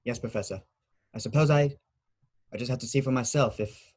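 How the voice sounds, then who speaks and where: A man in an amateur table read playing a polite, cold, calculating teenage schoolboy in a measured, formal way. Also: medium pitch, darkish tone, close to an everyday voice. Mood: deferential, guarded.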